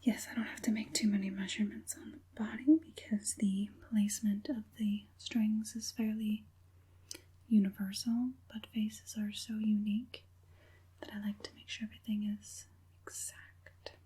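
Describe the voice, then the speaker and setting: A woman playing a politely businesslike, secretive witch puppet master in a soft, breathy whispered ASMR roleplay. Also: medium pitch, flat delivery. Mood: polite, focused. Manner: soft, unhurried, politely professional, like a fitter.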